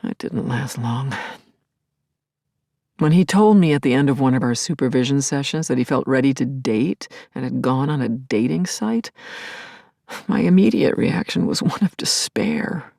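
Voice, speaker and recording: An actress playing a grieving, lovelorn middle-aged therapist in an intimate audio play monologue, confiding and wry, lingering on words and dropping to murmurs. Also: female voice, very deep voice, expressive, normal speed, gravelly, mellow, dry recording, clean studio sound, exaggerated voice. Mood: anxious, self-mocking. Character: grieving, lovelorn woman therapist, self-aware and yearning. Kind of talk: intimate first-person dramatic monologue.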